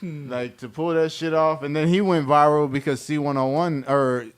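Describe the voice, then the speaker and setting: A man's voice: fairly high pitch, steady tone, moderate pace, clear, resonant tone. A man telling stories in casual podcast chat, full of slang and profanity. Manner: slangy, profane, frequent "bro" and "like".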